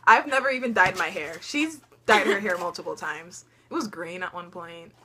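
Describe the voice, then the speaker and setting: A woman's voice: fairly low pitch, normal speed. A teenage girl vlogger telling funny stories in casual chat, laughing often and dropping lots of ums.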